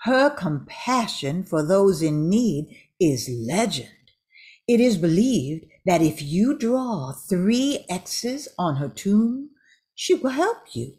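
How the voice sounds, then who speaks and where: A woman's voice: lowish voice, sing-song, slow pace, warm tone. An older woman reading scripted narration for a radio drama.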